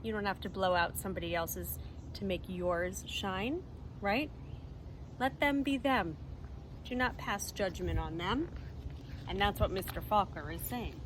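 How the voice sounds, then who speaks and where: A woman reading a storybook aloud expressively in a teacherly manner, pausing to explain and stress the moral. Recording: clearly noisy recording with ambient rumble.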